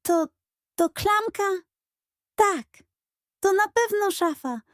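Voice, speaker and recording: A woman acts out a cowardly little koala from a bedtime storybook. The voice is very high and shaky, full of panicky squeaks and moans. He is clumsy and easily frightened, heard up close in a dry recording. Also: takes it slowly, no room sound. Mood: frightened whimpering.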